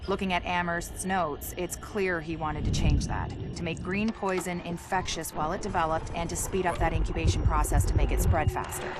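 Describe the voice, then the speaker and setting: A woman voicing a wry, low-pitched virologist. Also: steady tone, lightly acted. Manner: brisk briefing with dry asides. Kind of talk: game cutscene voice acting. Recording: over game music.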